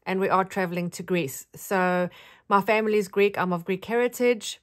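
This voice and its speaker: Friendly South African woman vlogger, chatty, mid-pitched, relaxed show-and-tell delivery. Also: moderate pace. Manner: chatty, friendly, rambling with fillers. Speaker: craft vlogger.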